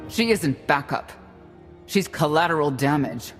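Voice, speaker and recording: High-voiced, disdainful saboteur. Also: male voice, lively intonation, measured pace. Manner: curt, disdainful. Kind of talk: dramatic video game voice acting. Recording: reverberant, music behind.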